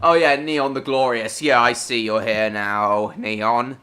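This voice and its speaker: Male streamer from Hong Kong, bright, forward voice; rambling, talks back to chat, swears at game slip-ups. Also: mid-range voice, steady tone, takes it slowly. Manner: answers viewers by name, rambling and repeating himself; bursts into swearing at game mishaps. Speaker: live streamer. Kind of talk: casual live-stream chat while gaming.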